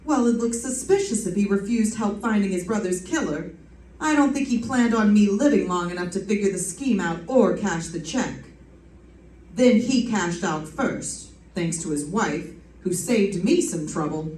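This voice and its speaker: A woman's voice: mid-range voice, animated, normal speed, a little mellow, slight background noise, lightly acted. A woman playing a wry, hard-boiled private detective in a live old-time radio mystery, telling it in slangy style. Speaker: radio drama performer. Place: live stage performance in a reverberant room. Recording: echoey room, picked up from a distance.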